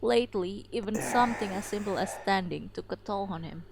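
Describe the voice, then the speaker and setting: A woman's voice: mid-range voice, a little fast, creaky, a little hiss or hum. A woman reading a story aloud as a narrator, in a dramatic storybook style. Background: music.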